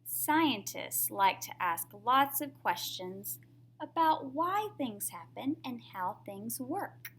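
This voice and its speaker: Lady reading a kids book out loud, highish voice, really sing-song up and down, teachery, bit of background noise. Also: sing-song, slow pace. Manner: teacherly, simply worded, often asking the listener questions. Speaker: read-aloud storyteller for young children. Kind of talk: picture book read-aloud with questions to children.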